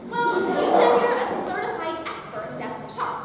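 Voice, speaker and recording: Woman acting wide-eyed comic innocent, very high swooping voice, theatrical, far echoing room. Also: very animated, moderate pace. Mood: innocent, puzzled. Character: sweet, naive, wide-eyed female comic sidekick. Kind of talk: theatrical stage comedy acting. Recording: very noisy echoey hall, far off.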